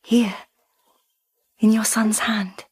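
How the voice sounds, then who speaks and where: A resolute young wife in a Victorian radio play. Her voice is fairly high, measured and compassionate, with faint noise behind it. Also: level tone. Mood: tender, hushed. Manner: measured, often hushed and tender. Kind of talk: period radio drama acting.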